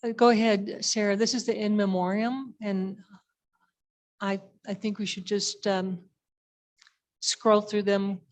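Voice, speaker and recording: A woman with a mid-range voice addresses a members meeting as one of its leaders. Her delivery is informal and conversational, with a halting flow as she presents. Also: mid-range voice, level tone.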